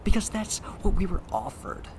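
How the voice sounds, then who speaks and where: A woman's voice: medium pitch, sing-song, normal speed, noisy background, natural voice. A woman acting in an audio drama as a stammering, exasperated, slangy, foul-mouthed superpowered slacker who follows the rules reluctantly. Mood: exasperated, defiant.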